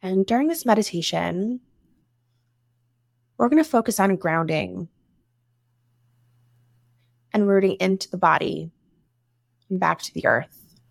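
A woman leading a guided meditation, giving soothing instructions in short phrases with long pauses between them. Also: medium pitch, expressive, vocal fry, no room sound, studio quality. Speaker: meditation guide, breathwork teacher.

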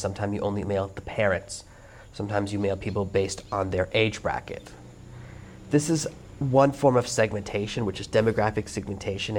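A man hosting a solo podcast whose voice is hoarse and worn out. He explains things in a steady, earnest way. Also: fairly low pitch. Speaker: podcast host. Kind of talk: solo instructional monologue.

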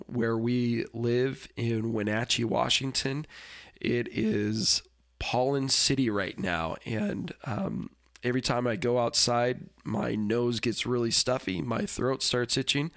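A congested man talks through his solo podcast intro, rambling and apologetic, tripping over restarts and ums. His voice sits at an ordinary middle pitch, stuffy through the nose, recorded close and dry with no room sound. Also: vocal fry, dry recording. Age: adult with a daughter. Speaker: podcast host. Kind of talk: casual solo podcast talk.

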